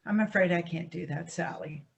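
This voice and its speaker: A woman's voice: on the low side, moderate pace, creaky, muffled recording. A woman acting in a staged play reading as a defensive, embittered divorcee with an evasive, formal way of talking. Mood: defensive, uneasy.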